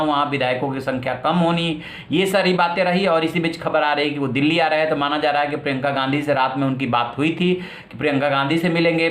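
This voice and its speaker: A male news anchor with a slightly high voice, recorded in a clearly echoey space. He explains political developments conversationally, posing rhetorical questions as he goes. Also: a bit bright. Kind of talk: news commentary, explaining politics in a semi-scripted way. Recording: roomy, echoing voice.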